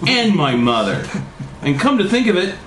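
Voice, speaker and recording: A furious, bratty teenage girl from a storybook , as a male reader puts her on, pitched high and swearing freely. The voice swings up and down dramatically in an echoey room. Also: sing-song, moderate pace, a bit bright. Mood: resentful. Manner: bratty, profane, exclamatory. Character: angry, sulky, foul-mouthed teenage girl. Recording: roomy echo, faint noise.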